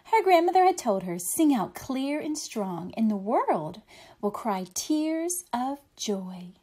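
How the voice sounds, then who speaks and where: A woman's voice: fairly high pitch, very animated, slow, warm tone. A woman reading a picture book aloud expressively for young children, explaining things simply like a teacher.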